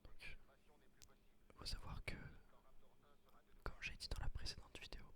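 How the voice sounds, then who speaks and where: A man whispering ASMR gameplay commentary in a breathy voice, explaining things casually with slang. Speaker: gaming video creator.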